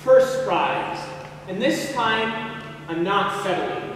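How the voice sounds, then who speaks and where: A teenage boy acting a fed-up, curt, defensive partner in a high school stage play. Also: slow, a bit bright. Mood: cold, evasive. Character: fed-up, evasive, unfaithful young male partner. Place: high school stage, audience camera far away. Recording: noisy echoey hall, recorded from far off.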